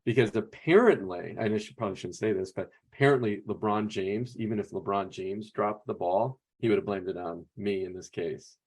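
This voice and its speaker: An American man co-hosts a podcast in a relaxed conversational style. He tells casual anecdotes in a fairly low voice. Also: brisk, hardly any pauses. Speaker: podcast co-host, basketball coach.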